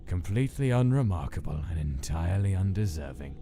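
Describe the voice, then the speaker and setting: A man voices a detached, clinical skeleton scientist in a comic dub. The voice is low and swings widely in pitch, with formal, precise wording and a short temper. Music plays clearly behind it. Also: very animated, fairly quick. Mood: exasperated. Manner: formal, precise, terse, exasperated when disturbed. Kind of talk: scripted log narration in comic dub.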